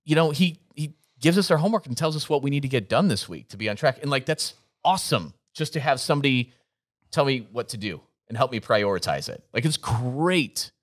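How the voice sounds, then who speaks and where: Man in his 40s, earnest motivational talk, dry close mic, middle pitch. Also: lively intonation, a little fast, no echo. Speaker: podcast co-host. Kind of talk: business podcast talk. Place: gym set up as podcast studio. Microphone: studio mic on boom arm, headphones.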